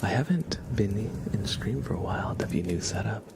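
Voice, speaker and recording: A man hosting an ASMR livestream, speaking softly and casually to viewers in a gentle, rambling, hesitant way. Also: moderate pace, very noisy. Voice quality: soft, breathy whispering with creaky low undertone. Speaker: livestream host.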